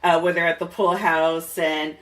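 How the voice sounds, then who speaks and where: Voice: mid-range voice, flat delivery, normal speed. A podcast host interviewing a guest in casual, enthusiastic, chatty conversation. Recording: slightly distant mic, music underneath.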